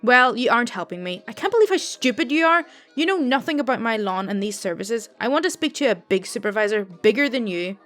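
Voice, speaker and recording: A belligerent old lady on the phone with a smoker's voice, loud and demanding, hurling insults, as a woman puts her on. Her voice sits fairly high, and faint music plays underneath. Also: animated, a little fast, hardly any pauses. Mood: angry, insulting. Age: older. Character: rude elderly woman caller, smoker, belittling.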